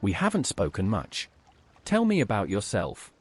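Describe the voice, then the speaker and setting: A man voicing a calm, courteous adventurer in a fantasy video game. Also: on the low side, normal speed, husky, heavily acted. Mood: inquisitive. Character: calm, courteous adventurer recruiting dragon slayers. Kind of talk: scripted video game dialogue.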